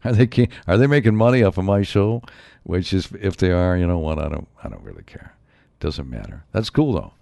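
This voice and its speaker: A man hosting his own show in a rambling solo monologue, his voice a bit raspy from a slight cold. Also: low voice, animated, brisk, dark tone, no echo. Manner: rambling, musing, frequent asides. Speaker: show host.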